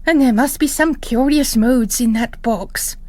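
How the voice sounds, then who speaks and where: A woman voicing an inquisitive, mysterious male priest with a polite, gently probing manner, in a story reading. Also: on the high side, measured pace, no room sound, pitched above the performer's own voice, close to an everyday voice. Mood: persuasive, curious.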